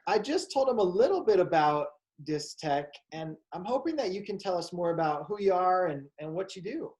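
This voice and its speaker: A man hosting a psychology show for kids, speaking in a slow, calm, encouraging way. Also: sits high. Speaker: show host. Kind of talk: gentle talk to children.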